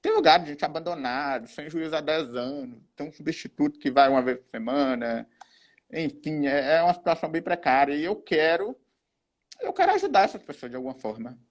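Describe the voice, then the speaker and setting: A man's voice: on the high side, animated, a little fast. A Brazilian man in his twenties, a guest in an interview, speaking earnestly and with determination. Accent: Brazilian Portuguese. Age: 20s. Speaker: guest, newly approved judge. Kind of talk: conversational interview.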